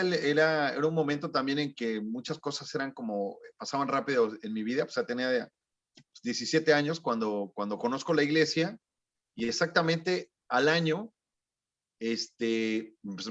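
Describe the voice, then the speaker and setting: A man's voice: mid-range voice, a bit bright. Frank man, dull lo-fi audio. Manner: frank, conversational, tags with "¿no?". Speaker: guest, former missionary. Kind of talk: candid interview recollection.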